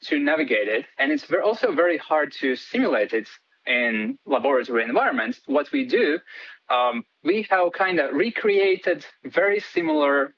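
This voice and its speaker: A man's voice: fairly high pitch, sing-song, normal speed, lo-fi sound. A man from Latvia, a PhD student guest on a radio interview, talking hesitantly with many fillers and restarts.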